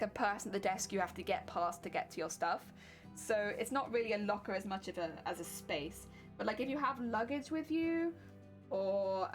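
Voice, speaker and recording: A woman chatting casually on a livestream, explaining her sewing steps as she works and laughing often. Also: medium pitch. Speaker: cosplay crafting streamer.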